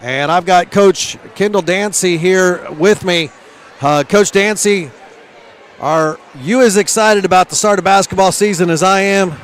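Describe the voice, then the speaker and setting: A man calling a live high school basketball game and interviewing at halftime, energetic, with frequent "uh" fillers. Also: measured pace, clear, resonant tone. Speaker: play-by-play announcer.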